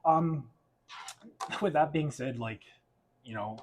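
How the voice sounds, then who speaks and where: A man's voice: a little high, takes it slowly, a little hiss or hum. A young adult man from Portugal, raised in western Canada, candidly telling his life story as a guest in a casual chat and laughing often. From Portugal, raised in Alberta, Canada.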